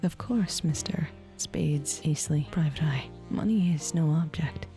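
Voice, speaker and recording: A woman acts out a spoiled, rich noir femme fatale in an ASMR drama. Her voice is low and dark, breathy and half-whispered, melodramatic, with faint music underneath. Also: measured pace. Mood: pleading, haughty. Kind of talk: noir roleplay acting, ASMR.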